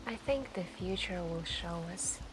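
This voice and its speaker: Charming café stranger woman actor, soft ASMR storyteller, unhurried and lower-pitched, musing and gently flirtatious, music and rain behind. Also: animated, background noise. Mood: reflective, inviting. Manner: slow, musing, gently flirtatious, pausing between phrases. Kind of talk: soft-spoken ASMR roleplay, intimate storytelling. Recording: voice over music and falling rain.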